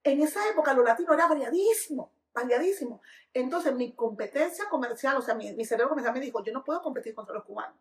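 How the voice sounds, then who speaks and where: A woman from Venezuela, a dance educator and DJ, telling stories in a lively, emphatic podcast chat. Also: high voice, quick pace, reverberant. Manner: lively, emphatic storytelling with sung snippets. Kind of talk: casual podcast conversation.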